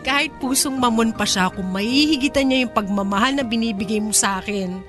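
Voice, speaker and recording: A distinctly high voice over prominent music gives us a woman acting a vulnerable young Filipino daughter. She is emotional, loving and pleading, in the manner of radio drama. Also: a little fast, lightly acted. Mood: fond.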